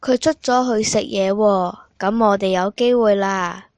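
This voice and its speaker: A woman's voice: mid-range voice, measured pace, a little mellow, no echo, lightly acted. A woman playing a desperate, bossy, starving petty thief in a stage play, with a plaintive delivery. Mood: scheming, bossy.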